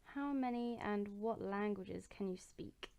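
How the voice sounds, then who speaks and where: A young woman in her bedroom, answering viewer questions in a relaxed, chatty way, with plenty of ums and restarts. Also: medium pitch, measured pace, creaky, clean tone. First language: English. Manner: chatty and relaxed, with many ums and self-corrections. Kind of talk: casual chat.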